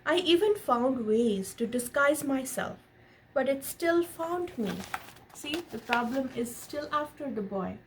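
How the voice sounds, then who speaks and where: High-voiced woman reading aloud, noisy background. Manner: expressive reading, pausing to explain and ask questions. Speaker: parent reading a picture book aloud to their child. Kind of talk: read-aloud storytelling with casual asides to a child and viewers. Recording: noticeable background noise.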